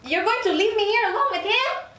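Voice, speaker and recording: A woman's voice: extremely high pitch, lively intonation, brisk, bright, forceful tone, a little hiss or hum, full character voice. An actress at a script table read playing an impatient, blunt, incredulous woman who is exasperated and desperate. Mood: alarmed. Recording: echoey room from far off.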